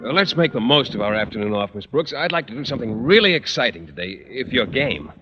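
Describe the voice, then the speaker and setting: A man plays a mild, naive schoolteacher suitor in a vintage radio comedy. His medium-pitched voice is earnest with lively rises and falls, on a muffled old recording. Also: fast talker, loud music behind the voice, lightly acted. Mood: earnest, hopeful. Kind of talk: 1940s-50s radio comedy acting.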